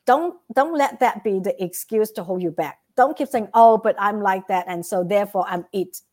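A woman's voice: mid-range voice, normal speed, a little mellow. A woman with a Vietnamese accent, a guest giving earnest motivational advice and often checking in with "right?". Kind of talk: motivational interview talk.

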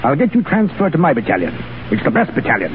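An actor playing a gruff, easily amused Foreign Legion commandant in 1930s radio style, barking out clipped questions. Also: male voice, on the high side, wildly swooping pitch, fast talker, phone-quality sound. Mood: amused, approving. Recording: thin, noisy 1930s radio recording.

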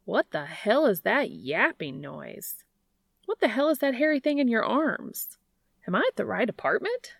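A woman's voice: a little high, big pitch swings, measured pace, creaky, husky, no room sound, studio quality, voice pushed up, full character voice. A woman narrator voicing a man's brash, nosy brother in an audiobook, blunt, mocking and incredulous. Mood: brash disbelief. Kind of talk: audiobook character dialogue.

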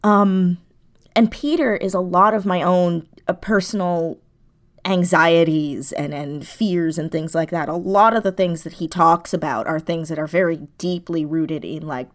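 A woman speaking casually as an interview guest, animated and self-deprecating, and quick to laugh. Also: fairly low pitch. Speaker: interview guest, audio drama writer and performer.

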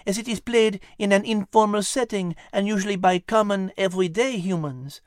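Man doing a high, genteel French lady animal. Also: moderate pace, dry recording, clean studio sound, natural voice. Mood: composed, instructive. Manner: precise, genteel, explanatory. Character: refined, gentle French female animal, clever and composed. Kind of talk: children's storybook character reading.

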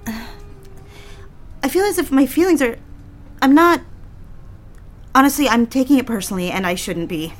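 A woman playing an anxious, deferential young secretary in a period office drama, halting and flustered as she talks. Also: high-pitched. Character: anxious, eager young secretary, engaged to be married.

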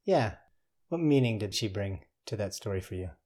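A man's voice: fairly low pitch, animated, moderate pace, darkish tone. A man hosting a thoughtful, conversational interview, phrasing his questions in a tentative, musing way. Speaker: interviewer, host.

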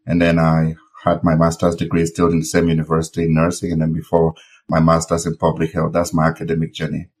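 Deep-voiced man from Cameroon. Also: completely flat delivery, quick pace, a little mellow. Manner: earnest, storytelling with fillers and restarts. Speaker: podcast guest, nurse and public health expert. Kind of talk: conversational interview.

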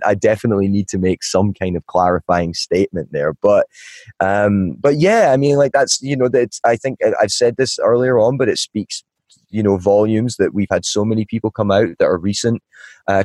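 Podcast guest, man, Scottish Highland accent, very low voice, dry close sound, rambling and self-correcting. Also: darkish tone, no echo. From Scotland. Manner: rambling, many restarts and fillers. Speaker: guest, nightlife advocate. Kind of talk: casual podcast interview.

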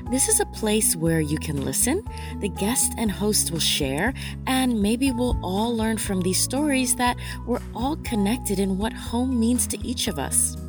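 A woman's voice: a little high, expressive, unhurried, over music. A woman hosting a podcast, reading her show intro and then asking her guest questions in an encouraging way. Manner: encouraging, with many short "mm-hmm" replies. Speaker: podcast host.

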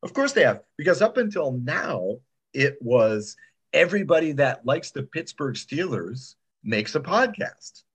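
Animated, husky man making emphatic points. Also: a little high, unhurried. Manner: emphatic, repeats himself, rhetorical questions. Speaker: podcast guest, audio drama producer. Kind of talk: casual, opinionated chat. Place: remote call on a computer.

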